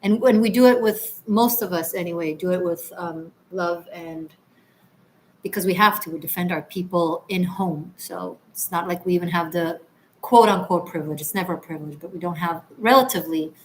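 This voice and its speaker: Woman from Israel, livestream host, laid-back rambling chat, lowish voice. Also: moderate pace, slight background noise, rough recording. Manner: relaxed, chatty, with frequent restarts and soft trailing asides. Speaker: livestream host, tarot reader. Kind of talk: casual livestream chat reading comments.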